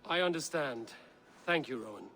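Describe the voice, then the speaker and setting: An actor playing a calm, measured and decisive Viking leader in a dramatic video game. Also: male voice, mid-range voice, vocal fry. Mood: calm authority.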